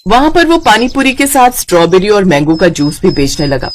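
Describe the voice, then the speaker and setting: A woman's voice: medium pitch, big pitch swings, brisk. A woman narrator reading a scripted story for children.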